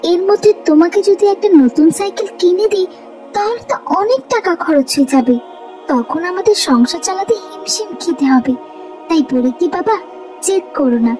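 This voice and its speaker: A woman playing a gentle, struggling mother in a cartoon. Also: extremely high pitch, rough recording. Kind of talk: cartoon voice acting.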